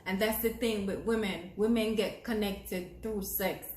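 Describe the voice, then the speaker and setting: A woman's voice: medium pitch, fairly even intonation, a little slow, darkish tone, echoey room. A young Jamaican woman with a Jamaican accent, talking to camera in an earnest, encouraging way that repeats phrases for emphasis. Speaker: vlogger. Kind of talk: casual talk to camera.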